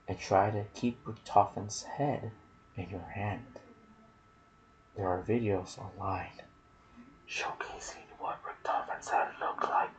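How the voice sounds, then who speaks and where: A man with a fairly low voice narrates video game lore in an ASMR style. His delivery is very slow and soft-spoken, slipping into whispers. Noticeable ambient noise sits behind his voice. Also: rough recording. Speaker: video host and gaming content creator.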